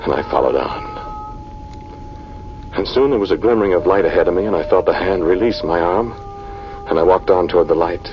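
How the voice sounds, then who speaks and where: An actor playing a haunted, brooding scientist in a 1940s radio horror drama, speaking in a dramatic, halting way. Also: male voice, sits low, a little hiss or hum, lightly acted. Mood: hushed, entranced. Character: haunted male scientist recounting a terrifying tomb ordeal. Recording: dull vintage radio recording with music behind.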